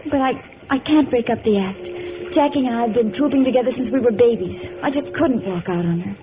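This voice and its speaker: An actress playing an earnest young sweetheart in an old radio drama, with a romantic, melodramatic delivery. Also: female voice, fairly high pitch, a little fast, lightly acted. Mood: earnest, torn. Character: lovely young woman performer, enthusiastic yet dutiful sweetheart. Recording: muffled, noisy old radio recording.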